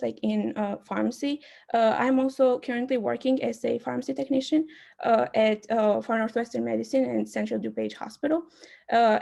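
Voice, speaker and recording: Young woman, super gravelly fry voice, kinda halting with tons of ums, campaign intro on a call. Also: a little high, warm tone. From Albania. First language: Albanian. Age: young adult. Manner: hesitant, many uh and um fillers. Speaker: student club board candidate. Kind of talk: self-introduction campaign speech.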